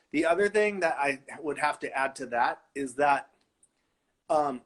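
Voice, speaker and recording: A man's voice: medium pitch, a bit bright. A middle-aged man from Los Angeles, a designer chatting playfully from his home and laughing often. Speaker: fashion designer guest. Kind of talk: casual video-call chat.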